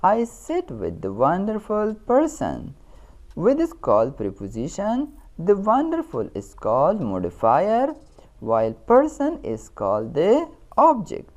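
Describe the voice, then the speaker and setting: Female teacher, Pakistani accent, low voice with hugely varied melody, reading a lesson deliberately and instructively. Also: wildly swooping pitch, a little mellow, rough recording. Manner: instructional, deliberate, repeats key terms. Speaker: English grammar teacher. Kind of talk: scripted lesson narration with examples.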